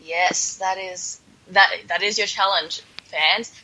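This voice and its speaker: A woman's voice: medium pitch, fairly even intonation, slow pace, sharp tone, poor audio. A woman co-hosting a podcast in casual comedic chat, with a playful, mock-dramatic sarcasm. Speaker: podcast co-host.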